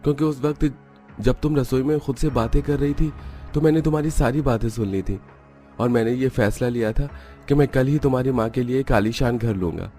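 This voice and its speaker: An actor playing a kind, attentive young husband in a cartoon family story, speaking earnestly. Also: male voice, medium pitch, a little fast, warm tone, faint music behind, close to an everyday voice. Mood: concerned, resolute.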